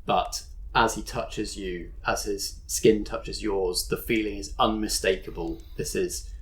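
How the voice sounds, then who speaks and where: Low-voiced game master. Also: male voice, fairly even intonation. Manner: descriptive, measured, slows for drama. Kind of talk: narrating a tabletop game.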